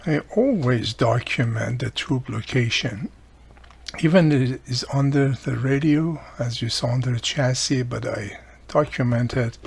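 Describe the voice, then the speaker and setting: A man with a husky, slightly low voice talks through a hands-on job step by step. His delivery is unhurried and plain-spoken, with loose, non-native-sounding grammar. He sounds like a hobbyist instructing casually. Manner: plain-spoken, instructive, often checking with "right?". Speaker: radio repair hobbyist demonstrating a restoration. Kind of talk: casual step-by-step tutorial narration.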